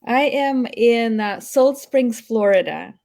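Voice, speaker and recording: Russian-accented woman guest, gentle and soft-spoken, on a home video call. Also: a little high, slow pace, gravelly. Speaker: guest healer. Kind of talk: casual live-stream interview.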